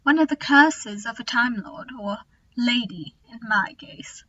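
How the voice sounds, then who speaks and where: A woman's voice: a little high, expressive, measured pace, a bit bright, dull audio. A woman playing a clever, resolute alien Time Lord who looks thirty, composed and explanatory, in a fan audio drama. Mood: wry, gentle.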